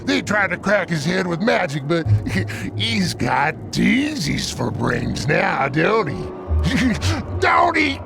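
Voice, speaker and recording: A half-mad prisoner in a fantasy game. His voice is heavily creaky and slow, lurching up and down as he mutters and repeats himself. Music plays behind him. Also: high voice, very animated, exaggerated voice. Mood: crazed, gleeful. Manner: muttering, repetitive, cackling, refers to himself by name. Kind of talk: video game character acting.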